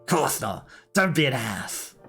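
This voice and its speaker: A man voicing a gruff, vulgar old grandmother who talks bluntly and scolds. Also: mid-range voice, sing-song, slow, bright, forceful tone, faint music behind, exaggerated voice. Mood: stern.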